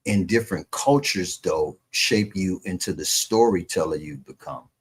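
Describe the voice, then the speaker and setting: A man's voice: deep voice, normal speed, slightly rough. A man hosting a radio interview show, talking casually with many fillers and repeated words. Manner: warm, chatty, repeats words, lots of fillers. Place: radio station.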